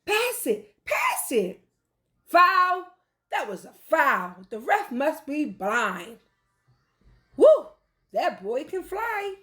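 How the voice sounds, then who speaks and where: An excitable storybook basketball fan played by a woman reading aloud shouts at the game. The exclamations leap high and loud, full of impatience and fervor. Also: extremely slow, pauses often, heavily acted. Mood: thrilled.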